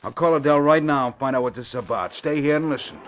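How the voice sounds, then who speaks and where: An actor playing a blunt, suspicious, hot-tempered gang boss in an old radio crime drama, with curt slangy talk. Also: male voice, mid-range voice, fast talker, lightly acted. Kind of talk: 1940s radio crime acting. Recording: dull vintage radio recording.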